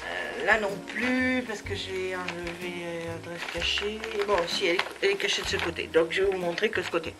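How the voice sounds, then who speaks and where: A woman's voice: fairly low pitch, sing-song, normal speed, hardly any pauses, noisy background. A woman with a cold hosting a casual crafting tutorial at home, chatty and full of hesitations. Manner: chatty, many hesitations and fillers. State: has a head cold.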